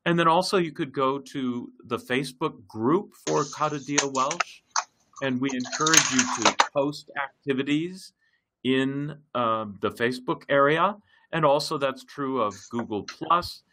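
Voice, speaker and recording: A man hosting an online language-learning web meeting as facilitator, talking in a halting way with many fillers and restarts. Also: mid-range voice, sharp tone, a little hiss or hum, poor audio. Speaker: project facilitator. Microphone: computer mic in a web meeting.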